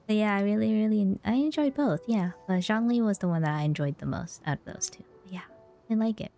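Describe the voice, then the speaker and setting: Mellow-voiced woman streaming games. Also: medium pitch, fast. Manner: informal, rambling reactions. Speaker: game streamer. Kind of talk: casual livestream commentary. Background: game music.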